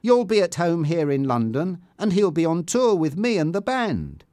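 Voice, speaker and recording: A man plays an excitable young woman singer in an audiobook story. The voice is raised high and swings widely, with an eager, emotional edge and an insecure temper. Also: moderate pace, dry recording, clean studio sound. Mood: triumphant.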